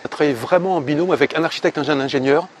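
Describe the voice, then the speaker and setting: A man's voice: on the high side, rapid-fire. A man giving casual interview testimony as a building design professional, colloquial and often repeating words. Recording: noticeable ambient background noise.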